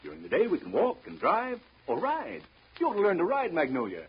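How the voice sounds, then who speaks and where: An actor playing a breezy, evasive gentleman gambler, dismissive and smooth-talking, in 1930s radio drama style. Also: sits high, huge pitch swings, fairly quick, heavily acted. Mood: elated, impatient. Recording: noisy, dull 1930s radio recording.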